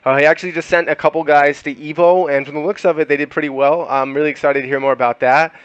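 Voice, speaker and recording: A man's voice: a little high, fairly even intonation, fast, smooth, dry recording, dull audio. A young man hosting a gaming podcast, chatting loosely with many fillers and laughs. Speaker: podcast host. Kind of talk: casual podcast chat.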